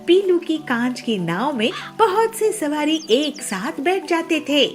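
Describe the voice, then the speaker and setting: Woman, high voice, slow scripted children's storytelling, soft music behind. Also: sing-song.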